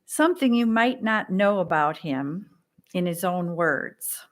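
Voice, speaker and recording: Slow-speaking woman leading worship. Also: fairly low pitch, lively intonation. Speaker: worship leader. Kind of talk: introduction and offertory.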